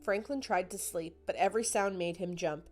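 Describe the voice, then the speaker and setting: A woman's voice: on the low side, moderate pace. Woman reading a children's storybook over music. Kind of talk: scripted storytime narration.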